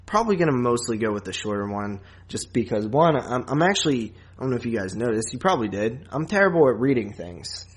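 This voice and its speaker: A man co-hosting a podcast, talking casually about films in a rambling, halting way. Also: mid-range voice, sing-song. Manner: rambling, halting, filler-heavy. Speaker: podcast co-host. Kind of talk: casual movie discussion.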